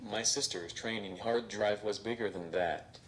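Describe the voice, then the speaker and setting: A man voicing a robot stand-up comedian who delivers deadpan jokes on stage. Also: on the low side, measured pace, close to an everyday voice, poor audio. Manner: deadpan, flat punchlines. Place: stage before a live audience.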